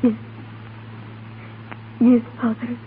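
An actress playing a grief-stricken, bitter widow in a 1930s radio drama. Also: female voice, fairly high pitch, level tone, very slow. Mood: subdued grief. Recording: tinny, noisy 1930s radio recording.

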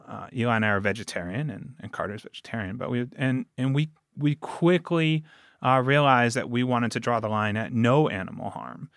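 A man's voice: mid-range voice, fairly bright tone, no room sound, studio quality. A man talking casually as a podcast guest, telling stories and quoting himself and others as he goes. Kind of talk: casual interview conversation.

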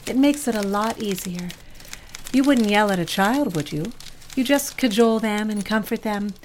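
A woman's voice: mid-range voice, moderate pace, a little hiss or hum, natural voice. A woman playing a pragmatic, worldly office colleague in an audio drama, giving matter-of-fact advice. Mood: advising.

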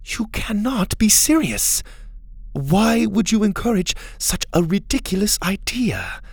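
Man voicing a stern, impatient higher-pitched woman leader. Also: lively intonation, unhurried, no echo. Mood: snappish disbelief. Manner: firm, snappish, formal wording without contractions. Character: stern, practical, impatient female team leader with spear.

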